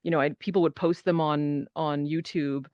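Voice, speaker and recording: A woman's voice: sits low, moderate pace, no room sound, highs cut off. A woman performer talking casually on a panel, acting out her thoughts as she tells stories, through a VR headset microphone. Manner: animated storytelling, acts out quoted thoughts. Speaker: panelist, immersive theater performer.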